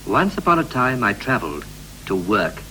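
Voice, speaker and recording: A wistful dad in an old 60s radio play, low voice that swings around a lot, poetic, bit of hum. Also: male voice, sing-song, bright, forceful tone. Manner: measured, poetic, reflective delivery. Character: wistful married father looking back on family life. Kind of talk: 1960s radio drama, poetic dialogue. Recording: noisy, humming 1960s radio recording.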